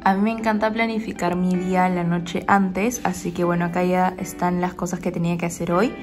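A woman's voice: fairly low pitch, little pitch movement, fairly quick, roomy sound. A young woman in her twenties from Peru, with a Peruvian Spanish accent, chatting upbeat and confiding as a daily vlogger. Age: 20s. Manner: talkative, upbeat, confiding to viewers. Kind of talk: casual chatty vlog narration. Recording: background music under voice.

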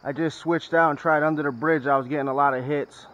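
A man's voice: a little high, steady tone, fast, fairly bright tone. A man chatting casually to viewers as a fishing vlogger, recorded outdoors on a body-worn action camera. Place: outdoors at a creek and under a bridge. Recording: dull action-camera sound, noticeable outdoor noise.

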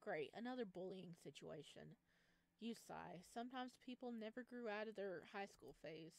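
A woman streaming a visual novel, reading the game text aloud and breaking in with expressive, exclaiming reactions. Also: medium pitch, moderate pace, vocal fry. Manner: expressive, exclaiming in bursts between read passages.

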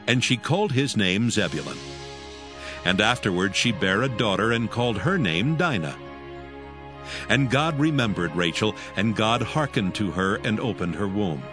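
A man narrating scripture from a script in a measured, solemn storytelling manner. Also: lowish voice, lively intonation, music in the background.

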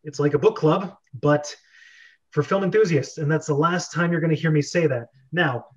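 A man's voice: fairly high pitch, normal speed, lo-fi sound. A man hosting a casual group chat over a video call. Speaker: podcast host.

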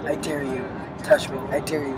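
A woman's voice: sits low, flat delivery, normal speed, rough recording. A teenage girl on a class bus trip, bickering playfully and making mock threats. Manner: mock-threatening, sassy, repeats taunts. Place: inside a moving tour bus. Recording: crowded bus with loud chatter. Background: loud chatter of other passengers.

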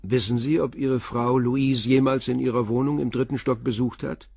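Persistent police inspector, husky voice, sober, probing, crime radio play, dry sound. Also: male voice, medium pitch, steady tone, moderate pace, darkish tone, no echo. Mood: measured, probing. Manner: probing, matter-of-fact, insistent. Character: persistent, sober male police commissioner questioning suspects.

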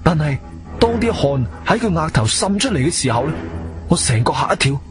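A man with a Cantonese accent acting a shrewd, firm investigator hero who narrates his own adventure in an expressive audio drama style. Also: fairly high pitch, fairly quick. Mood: gripping, suspenseful. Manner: expressive, emphatic storytelling. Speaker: narrator and lead actor. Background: background music.

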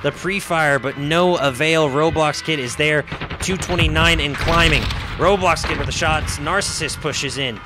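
A hoarse young man giving excited play-by-play commentary on an esports match, speaking into a headset from a home streaming setup. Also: on the high side, normal speed, loud music behind the voice. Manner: excited, rising commentary calls. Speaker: esports caster.

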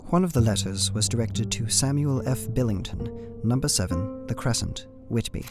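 An actor playing an anxious young solicitor, reading his journal in formal, measured language for a radio play. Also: mid-range voice, lightly acted. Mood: uneasy. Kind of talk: dramatic first-person narration, radio play. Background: music.

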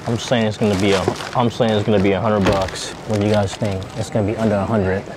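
A man chatting casually to his viewers as he shops in a grocery store, thinking out loud and repeating himself. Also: lowish voice, little pitch movement, quick pace, very noisy. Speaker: vlogger. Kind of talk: casual vlog chat.

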